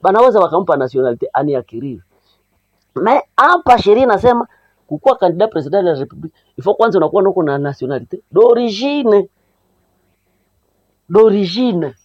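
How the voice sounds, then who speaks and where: Animated high-voiced Congolese man explaining. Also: a little fast, pauses often, dry recording. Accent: Congolese Swahili. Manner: rhetorical questions answered himself, emphatic. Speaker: civic educator presenting a program. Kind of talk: explanatory talk on law, mixing languages. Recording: dull lo-fi dry recording.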